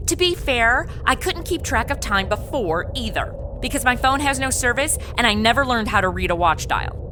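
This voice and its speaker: A woman's voice: on the high side, expressive, normal speed, over music, close to an everyday voice. A woman playing a young modern policewoman who complains sarcastically in a comedy audio drama. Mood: indignant.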